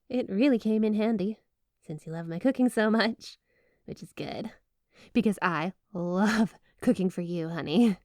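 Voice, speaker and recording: A woman's voice: mid-range voice, mellow, no echo, clean studio sound, natural voice. A woman playing a flirty, obsessive yandere snow spirit wife in an ASMR roleplay, gushing, coy and sweetly doting. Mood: excited, sweet. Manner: gushing, coy, playfully suggestive. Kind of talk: ASMR roleplay addressed to the listener.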